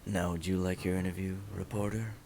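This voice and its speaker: A man's voice: low-pitched, level tone, brisk, heavy background noise. A man playing a grim masked vigilante and a smug crooked lawyer in an amateur superhero radio play. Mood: smug, orating. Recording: music behind the voice.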